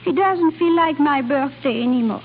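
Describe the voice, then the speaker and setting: High-voiced, playful young Frenchwoman. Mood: wistful, insistent. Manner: halting English mixed with French exclamations. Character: playful, impulsive young Frenchwoman posing as an aristocrat. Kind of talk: 1930s-40s radio drama acting. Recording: tinny, hissy old radio broadcast.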